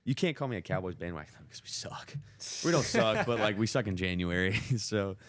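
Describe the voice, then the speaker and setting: A young man with a low-pitched voice talks very quickly in casual podcast banter about sports. He is blunt and opinionated, and the recording is dry, with little room sound. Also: sing-song, no room sound. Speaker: podcast co-host. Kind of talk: casual sports chat among friends.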